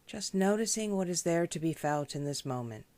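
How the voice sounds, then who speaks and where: A woman leading a guided meditation as a teacher, speaking in a gentle, measured, instructional way. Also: on the low side, animated, dry recording. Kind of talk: guided body scan meditation.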